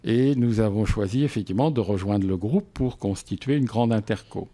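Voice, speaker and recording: Low, mellow male local official. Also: moderate pace. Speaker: interview guest, local intercommunal president. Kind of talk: explanatory radio interview answers.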